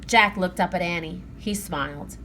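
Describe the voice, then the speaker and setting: A woman narrating a children's story aloud in an expressive read-aloud style. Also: on the low side, moderate pace, a little hiss or hum.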